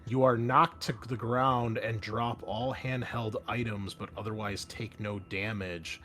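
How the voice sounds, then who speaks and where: A man's voice: fairly low pitch, fairly even intonation, a little slow, sharp tone. A man running a tabletop roleplaying game as host, shifting between conversational rulings and dramatic descriptive narration.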